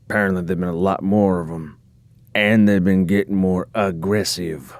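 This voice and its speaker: A man's voice: sits low, fairly even intonation, normal speed. A man voicing a plain-spoken, matter-of-fact companion creature, casual and informative, in an audio drama.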